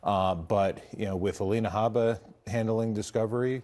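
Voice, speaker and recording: A man speaking as a lawyer in an interview, giving measured, hesitant opinions. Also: fairly low pitch, steady tone, darkish tone. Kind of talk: interview commentary.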